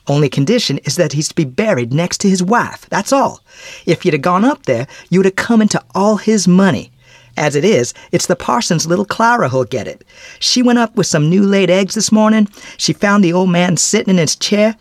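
A man doing a high, excitable village storekeeper. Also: moderate pace, no echo, studio quality. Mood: gossipy, gloating. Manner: folksy rural grammar, eager to tell news. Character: talkative gloating male village storekeeper. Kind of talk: storybook character voice.

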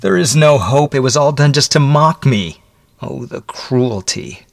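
A man's voice: mid-range voice, expressive, fairly quick, no room sound, clean studio sound, pitched above the performer's own voice, natural voice. A man voicing a desperate, overwrought condemned prisoner with pleading, vehement delivery in a melodramatic audiobook. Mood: despairing. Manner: pleading, panting, vehement outbursts.

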